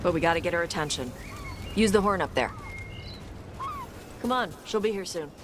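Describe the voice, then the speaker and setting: A woman's voice: fairly low pitch, animated, normal speed, background noise, close to an everyday voice. Woman acting blunt, tough fantasy dragon hunter woman. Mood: matter-of-fact. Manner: terse, blunt, matter-of-fact. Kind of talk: video game dialogue. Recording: game sound effects over voice.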